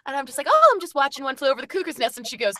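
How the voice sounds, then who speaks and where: Female podcast guest, bright forceful tone, higher pitch, informal, animated anecdote-telling. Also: very fast. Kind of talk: casual chat, telling anecdotes.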